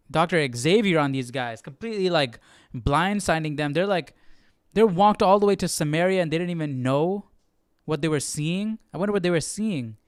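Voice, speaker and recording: Clear-voiced man musing casually, dry sound. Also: a little high, no echo. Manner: halting, informal, searching for words. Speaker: Bible study livestream host. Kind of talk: casual thinking-aloud commentary.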